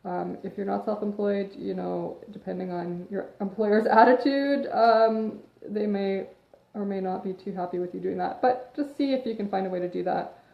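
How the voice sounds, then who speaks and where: Woman fitness coach on a live stream, kinda sniffly, gravelly edge, rambles off on tangents, roomy echo. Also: medium pitch, steady tone, normal speed. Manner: chatty, rambling, goes off on tangents. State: sniffly from allergies. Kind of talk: casual chat, advice monologue. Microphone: phone or webcam livestream. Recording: echoey room, dull lo-fi sound.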